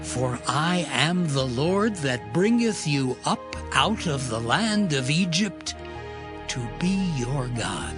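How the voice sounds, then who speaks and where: A man plays a solemn male deity laying down holy law in an audio scripture drama. The delivery is very slow, measured and declamatory, at a slightly raised male pitch. Music plays clearly behind the voice. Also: lively intonation. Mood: solemn, commanding.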